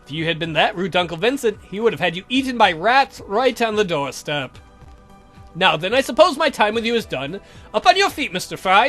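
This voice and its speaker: A man's voice: high voice, fairly bright tone. A man voicing a suave, cheeky count with polite, theatrical, condescending menace for a visual novel game. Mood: mock-polite menace.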